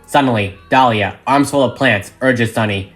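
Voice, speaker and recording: A narrator with a mid-pitched voice reads an audio drama script in a dramatic storytelling style. Music plays behind the narration. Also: normal speed, fairly bright tone. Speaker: narrator reading all parts of a fan audio drama. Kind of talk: dramatic script reading narration with stage directions. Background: background music.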